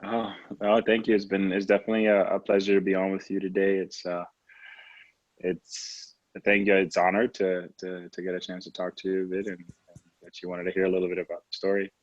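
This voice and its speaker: A man's voice: sits low, monotone, brisk. A man in his late twenties from Trinidad and Tobago, talking conversationally and reflectively as an interview guest, often saying "you know". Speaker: podcast guest, professional basketball player. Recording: roomy, slightly dull lo-fi audio.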